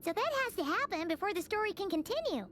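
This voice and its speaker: Squeaky tiny sprite girl, bouncy voice, game music behind. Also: big pitch swings, fast. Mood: excited. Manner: bubbly, exclaiming, chatty. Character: small excitable female sprite companion. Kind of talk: game cutscene voice acting.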